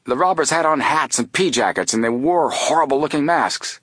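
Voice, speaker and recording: Excitable male bank guard, voiced by a man, flustered, raised pitch, adventure tale. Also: normal speed, breathy edge, no room sound, clean studio sound. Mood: agitated. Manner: highly excited, stammering.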